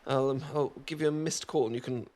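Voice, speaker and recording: A man's voice: mid-range voice, steady tone, fast talker, a little mellow, voice pushed up, close to an everyday voice. A man playing a flustered sunburned British guy. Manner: stammering, self-deprecating, nervous laughs. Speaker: ASMR performer. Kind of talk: ASMR romantic roleplay.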